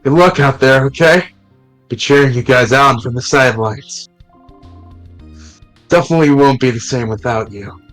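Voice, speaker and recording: A loyal, eager young man from a fan-made game, read by a man in a mid-pitched voice. His lines run casual and blunt with a bit of swearing, and faint music plays underneath. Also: normal speed, pauses often, a bit bright, natural voice. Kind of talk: reading a visual novel aloud on stream.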